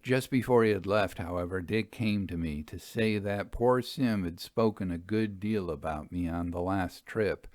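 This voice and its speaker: A man narrates a Victorian ghost story in a lowish voice. The sound is dry and close, and the reading is literary storytelling. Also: moderate pace, few pauses, a little mellow, no room sound.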